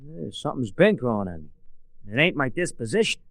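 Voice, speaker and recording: An actor playing a grouchy, wisecracking sidekick with an American accent and colloquial delivery, in 1940s radio comic-relief style. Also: male voice, mid-range voice, very animated, a little fast, gravelly, fairly bright tone, dry recording. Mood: grumbling.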